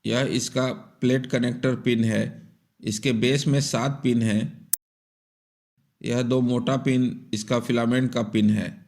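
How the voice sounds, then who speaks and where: A man's voice: medium pitch, flat delivery, measured pace, darkish tone. A man giving step-by-step instructional narration for an electronics repair tutorial in a methodical, explanatory manner.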